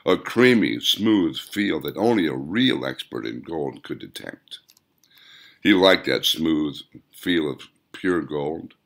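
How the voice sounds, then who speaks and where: Low-voiced man narrating with lively pitch. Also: takes it slowly, vocal fry. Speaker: author reading own audiobook. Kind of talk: scripted audiobook narration.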